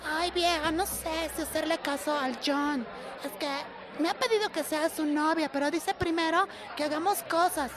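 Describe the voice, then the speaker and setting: A man's voice: extremely high pitch, steady tone, normal speed, heavy background noise, voice pushed up. A man voicing a lovestruck, hesitant teenage schoolgirl, whiny and gossipy, in a comic monologue. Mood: coy. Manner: girlish, whiny, dithering.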